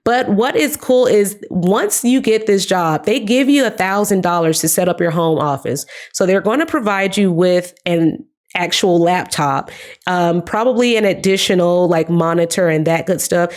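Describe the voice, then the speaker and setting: A young woman talks to her viewers in a casual, upbeat vlog, her voice sitting a little low. She is hoarse and her voice is giving out, but she keeps chatting and calls her viewers "guys.". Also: moderate pace, a little mellow. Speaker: career advice vlogger. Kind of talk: casual talk to camera.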